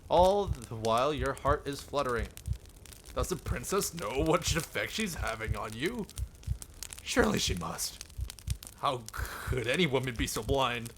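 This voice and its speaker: A man's voice: medium pitch, lively intonation, a little slow, noisy background. A man reading story text aloud live, with amused casual asides. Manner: amused, breaks into chuckles and side remarks. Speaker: streamer reading a visual novel aloud.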